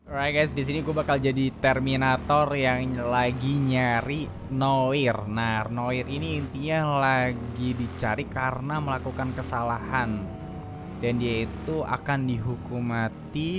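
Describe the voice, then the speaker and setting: A man's voice: mid-range voice, level tone, measured pace. A man giving casual, slangy gameplay commentary, mixing in English phrases. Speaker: gaming video host. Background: music.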